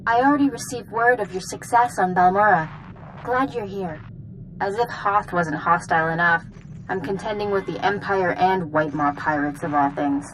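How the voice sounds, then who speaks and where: A woman playing a resolute knight commander in a sci-fi video game, giving brisk, earnest, authoritative briefings. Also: on the low side, slight background noise, natural voice, rough recording. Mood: resolute, urgent. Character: resolute female Jedi Knight, military commander. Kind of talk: video game dialogue acting.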